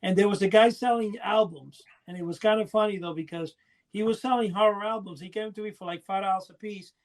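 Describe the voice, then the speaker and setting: A man with a high-pitched voice hosts a casual podcast. He talks in a rambling, enthusiastic way, like someone sharing stories with friends. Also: fairly even intonation, fast talker, a little hiss or hum, poor audio. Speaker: podcast host. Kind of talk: casual chat.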